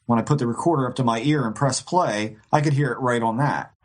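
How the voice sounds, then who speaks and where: A man's voice: medium pitch, quick pace, slight background noise. A man co-hosting a podcast, telling a ghost-hunting story in a rambling, casual way with frequent restarts and light laughs. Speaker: co-host.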